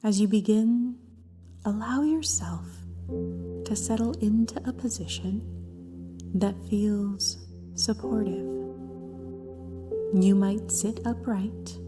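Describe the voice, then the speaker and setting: A woman leading a scripted guided meditation in a gentle, soft voice with breathy phrase endings and long pauses between short phrases. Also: medium pitch. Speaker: therapist guiding a meditation. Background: background music.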